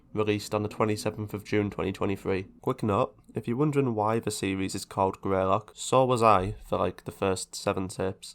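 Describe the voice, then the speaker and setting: A man with a fairly low voice narrates an explainer video. His delivery is scripted but conversational, with casual asides. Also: vocal fry.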